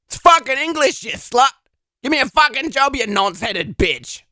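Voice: sits high, big pitch swings, moderate pace, sharp tone, dry recording, exaggerated voice. An actor playing a foul-mouthed, desperate Australian slacker man who begs and rants in thick slang in a comedy drama. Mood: belligerent, crude. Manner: crude, slangy, belligerent, whining.

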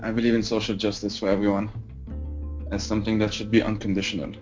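A young man, an editor, gives measured, reflective interview commentary. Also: fairly low pitch, level tone, darkish tone, soft background music. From Lebanon. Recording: slightly dull lo-fi sound.

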